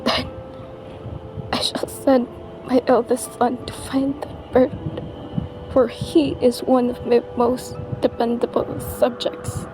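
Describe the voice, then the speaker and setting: A woman playing an anxious, ailing queen in a radio play, with a weak, breathy voice. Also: a little high, flat delivery, slow pace, pauses often, over music, poor audio. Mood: weak, resolute. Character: ailing, anxious queen of a fairy-tale kingdom.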